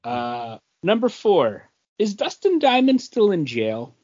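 A man's voice: sits high, huge pitch swings, takes it slowly, darkish tone, muffled recording. A man hosting a podcast quiz, reading out trivia questions and bantering casually.

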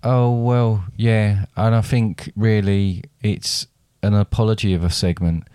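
A man's voice: fairly low pitch, fairly even intonation, a little slow, mellow, clean tone, no room sound, clean studio sound. A man co-hosting a podcast, chatting casually, rambling and restarting his sentences. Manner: rambling, hesitant, with many restarts. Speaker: podcast co-host.

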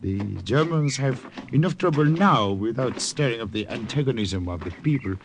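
An actor playing a wily middle-aged village priest in a 1940s radio drama, with a calm, persuasive manner. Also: male voice, mid-range voice, normal speed, natural voice. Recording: old radio broadcast with faint hiss.

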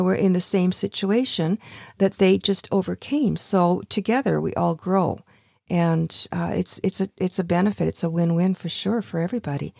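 Older woman host with a gravelly, mellow voice. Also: fairly low pitch, no room sound, clean studio sound. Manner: warm affirming interjections, thoughtful rambling. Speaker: radio show host. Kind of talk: conversational interview.

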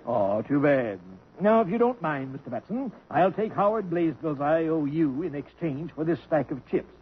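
A man's voice: on the high side, animated, normal speed, slight background noise, lightly acted. An actor playing a sly, genial older grandfather who schemes to help his granddaughter, in a 1950s radio drama. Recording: lo-fi old radio broadcast recording.

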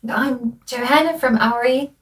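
A Finnish woman speaking English, a singer guest in a casual interview, soft and modest. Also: high-pitched, unhurried, far from the mic, very noisy. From Finland.

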